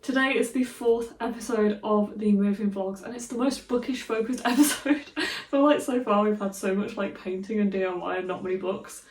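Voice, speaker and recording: A woman vlogging casually in a room of her flat, chatty and rambling with giggly asides, a little stuffy from a cold. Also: mid-range voice, fairly quick, reverberant, recorded from a distance. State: slightly congested from a cold. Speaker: book vlogger. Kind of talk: casual vlog chat.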